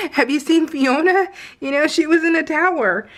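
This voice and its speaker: A woman's voice: high-pitched, measured pace, fairly bright tone. A middle-aged woman chatting casually over a craft video, gushing and slangy, telling stories with plenty of exclamations. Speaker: craft vlogger.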